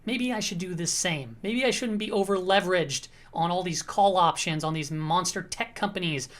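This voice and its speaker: A woman hosting a casual market commentary, slightly hoarse, talking through charts in a conversational way with frequent check-ins to the viewer. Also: lowish voice, moderate pace.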